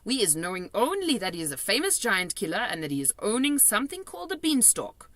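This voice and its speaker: Woman playing an earnest giant with lively, muddled speech. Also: mid-range voice, fast, no echo. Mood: earnest, explaining. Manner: muddled grammar, explaining earnestly. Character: kindly male giant with muddled homespun speech.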